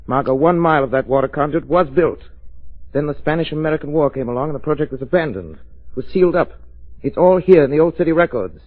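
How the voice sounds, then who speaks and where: A man playing a grave, self-assured gentleman sleuth in old radio drama style, speaking in precise, emphatic explanations. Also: on the high side, fast talker, natural voice. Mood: explaining, intent. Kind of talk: 1930s-40s radio drama acting. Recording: tinny, band-limited vintage radio recording.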